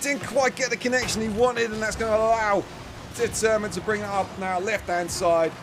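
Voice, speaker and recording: A man giving chatty live play-by-play commentary on a match, his voice starting to go hoarse. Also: high-pitched, normal speed, slight background noise. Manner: chatty, self-deprecating asides, bursts of excitement on big plays. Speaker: esports commentator.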